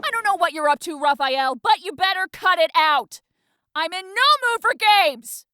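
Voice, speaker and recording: A woman's voice: very high voice, lively intonation, normal speed, pushed and bright, no echo, studio quality, exaggerated voice. A woman playing a feisty, blunt young goddess in an audio drama, with a brash, emphatic delivery. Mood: indignant, suspicious.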